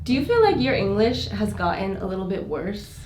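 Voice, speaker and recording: A woman's voice: mid-range voice, big pitch swings, brisk, darkish tone, a little hiss or hum. American woman chatting in echoey room. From United States. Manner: chatty storytelling with "like" fillers and laughs. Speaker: guest YouTuber. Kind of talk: casual chat. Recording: roomy echo.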